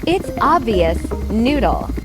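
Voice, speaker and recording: A woman's voice: on the high side, sing-song, takes it slowly, over loud music, voice pushed up. A woman voicing a bossy, sly, clever young girl twin in a children's storybook. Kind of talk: children's storybook character reading.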